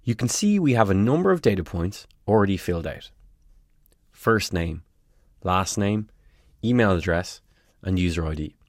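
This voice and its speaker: A man presenting a scripted tutorial, giving clear step-by-step instructions in a close, dry voice-over recording. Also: sits low, animated, normal speed, pauses often, dry recording.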